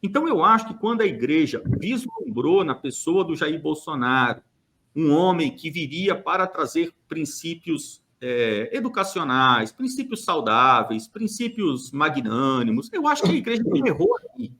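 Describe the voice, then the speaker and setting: A man's voice: fairly high pitch, sing-song, normal speed, bright, forceful tone. A man from Brazil speaking on a church podcast, making an emphatic, argumentative case with rhetorical questions and hesitant fillers. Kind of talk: opinionated podcast monologue.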